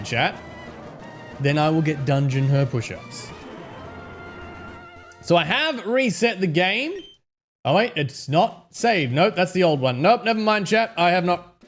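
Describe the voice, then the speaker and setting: A man with an Australian accent and a slightly hoarse voice, chatting casually to viewers on a live game stream and greeting them by name. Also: fairly high pitch. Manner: chatty, greets viewers by name, fretting over technical trouble.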